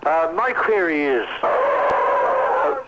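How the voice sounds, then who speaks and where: A middle-aged man calling a radio phone-in from home, polite and halting as he talks. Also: a little high, lively intonation. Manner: polite, halting, breaks off to call to someone at home. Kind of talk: phone-in chat. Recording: muffled noisy phone-in line.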